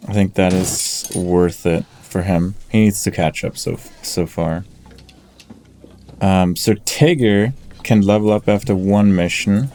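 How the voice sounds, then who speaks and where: A man giving casual commentary as he plays a game, musing aloud with pauses mid-sentence. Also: low-pitched, fairly even intonation, warm tone. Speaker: gamer, let's play host. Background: game music.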